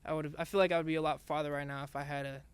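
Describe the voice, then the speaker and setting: A young man, a rap artist being interviewed, talking in a soft, halting way with many restarts. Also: a little high, level tone. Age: young adult. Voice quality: raspy singing voice. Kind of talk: casual interview chat.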